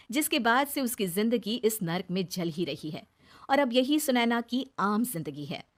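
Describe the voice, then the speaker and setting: High-voiced woman storyteller. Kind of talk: scripted narration of a moral story.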